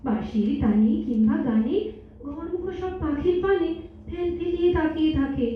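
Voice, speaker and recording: Far from the mic in a reverberant hall, an actress plays a coy young woman in love. Her high voice lilts theatrically through rhymed stage-drama verse, teasing as she goes. The sound is slightly dull. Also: normal speed, lightly acted. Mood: dreamy, lovestruck. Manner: theatrical, lilting, poetic recitation. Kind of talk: rhyming verse stage audio drama in Bengali. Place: multipurpose hall stage in Kolkata. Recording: echoey hall, distant mic, dull, faint noise.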